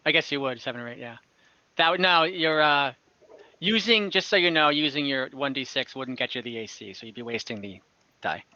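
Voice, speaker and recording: A man's voice: medium pitch, lively intonation, fairly quick, sharp tone, lo-fi sound. A man acting as game master for an online tabletop game, explaining rules with hesitant restarts and fillers. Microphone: home mic on an online call.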